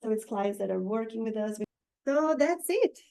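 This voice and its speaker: A woman with a Brazilian accent leads a training session in a high, light voice. She stops often, fills gaps with small hesitations and searches for the right English word. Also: animated, creaky, dark tone, slight background noise. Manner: halting, searches for words with many fillers. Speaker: real estate marketing trainer. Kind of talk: live training presentation.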